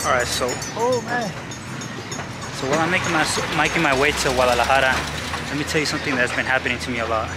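A man's voice: medium pitch, fast. A young man from Los Angeles talking casually to the camera while riding a bike, rambling with restarts and a little out of breath. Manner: rambling, many restarts and fillers; riding a bike one-handed, short of breath. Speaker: travel vlogger. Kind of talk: casual vlog narration while cycling. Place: city streets outdoors. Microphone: camera held at arm's length. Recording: loud street and traffic noise.

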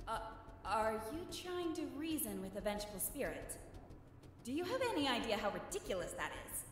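A woman's voice: fairly high pitch, sing-song, moderate pace, a little hiss or hum. A woman voicing a frustrated, vengeful female elf spirit in a visual novel, scornful and bossy. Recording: echoey reverb, distant, music behind.

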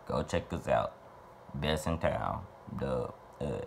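A man's voice: rumbling low, slow pace, creaky, a little hiss or hum. A young man in his twenties from Little Rock, Arkansas, rambling profanely and playfully while answering fan questions to camera in a small bedroom. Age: 20s. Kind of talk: casual Q&A monologue to camera.

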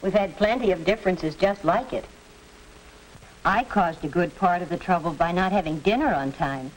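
Earnest 1940s American housewife, played by an actress, hissy film. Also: female voice, on the low side, close to an everyday voice, low-quality recording. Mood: earnest, organizing. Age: middle-aged. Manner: measured, earnest delivery. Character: earnest, tired housewife and mother, middle-aged, patient organizer. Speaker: actor in an educational film. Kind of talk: 1940s educational film acting.

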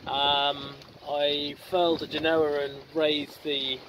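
A man's voice: on the high side, little pitch movement, slow, fairly bright tone, poor audio. A man in his thirties on the deck of a small sailboat, talking to his camera in a matter-of-fact way with some ums, and tired. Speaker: solo sailor vlogger. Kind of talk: casual video diary. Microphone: camera mic at arm's length. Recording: windy outdoor camera audio at sea. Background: wind and water noise.

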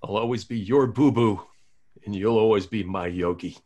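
A man's voice: fairly low pitch, normal speed, a little mellow, natural voice. A man reading an awkward, lovesick male radio host in a table read, talking earnestly and eagerly.

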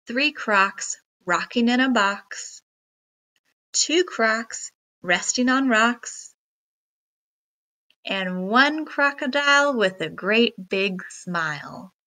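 A woman reading a children's picture book aloud in a deliberate, expressive storytelling manner. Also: a little high. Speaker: read-aloud narrator.

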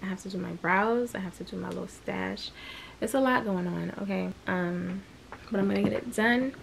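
A young woman chats straight into a close camera in her small bedroom, loose and candid, trailing off into fillers. Her mid-pitched voice carries a gravelly fry at the ends of lines. A faint hiss of room noise sits underneath. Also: moderate pace. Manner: chatty, candid, rambling with fillers. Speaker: vlogger. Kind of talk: casual vlog talk to camera. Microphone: camera close at arm's length.

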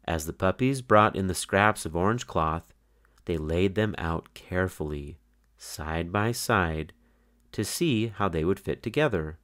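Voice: deep voice, expressive, unhurried, fairly bright tone. A narrator reading a children's picture book aloud in a scripted storytelling style.

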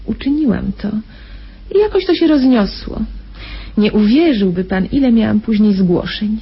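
A woman's voice: mid-range voice, animated, moderate pace, slightly rough. An actress playing a cold, coy female contract killer who poses as merciful, in a radio crime drama. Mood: cool, self-justifying. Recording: dull radio recording with faint noise.

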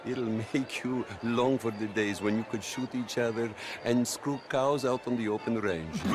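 An actor playing a smug, contemptuous middle-aged Italian crime boss, theatrical and mockingly jovial, in a video game. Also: male voice, medium pitch, unhurried, natural voice. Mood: smug, dismissive. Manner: theatrical, sneering, chuckles through his talk. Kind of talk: video game cutscene acting.

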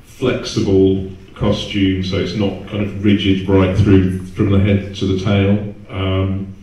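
Soft-toned male panelist in an echoey hall. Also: low-pitched, completely flat delivery, slow. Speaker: convention panelist. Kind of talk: casual panel discussion. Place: stage in a hall, recorded from audience camera. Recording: echoey hall from far off, noisy.

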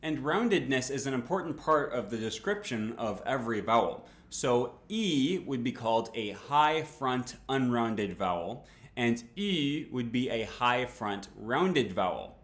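A man in his thirties speaks at a moderate, middling pitch, teaching to camera with a clear, patient, explanatory manner. His voice carries a noticeable echo from the small home room he records in. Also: animated, a little slow. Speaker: language teacher.

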